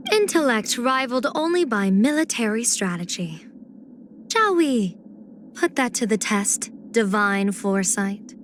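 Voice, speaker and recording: Video game general and fortune-teller, female, playful, scheming, elegant, teasing, slow measured pace, mid pitch. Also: a bit bright, a little hiss or hum. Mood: solemn, musing, then courteous, teasing. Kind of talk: video game character voice acting.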